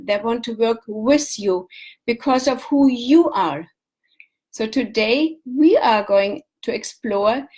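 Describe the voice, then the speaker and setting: A woman with a German accent hosts a live business talk show, her voice pitched a little high. She lays out her advice in lists and repeats key points as she teaches. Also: a little mellow. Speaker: show host, business coach.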